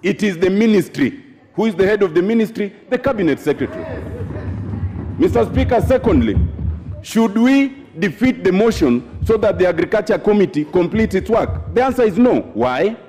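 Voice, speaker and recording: A man's voice: high voice, very animated, normal speed, dark tone, long reverb tail, low-quality recording. A middle-aged man giving a debate speech in parliament, posing questions and answering them himself. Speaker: member of parliament. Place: large parliament chamber.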